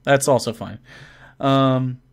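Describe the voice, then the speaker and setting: A man's voice: mid-range voice, steady tone, slow pace, gravelly, clean tone. A young man chatting casually on a live stream, reading out viewer messages and answering them with profane, rambling jokes. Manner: reads donations and chat messages aloud. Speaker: game master answering viewer questions.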